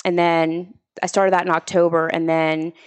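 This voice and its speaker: A woman in her thirties from Pennsylvania, a podcast guest. She talks in an upbeat, eager way and laughs easily. Her voice sits slightly low. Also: little pitch movement, moderate pace, smooth. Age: 30s. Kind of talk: casual chat.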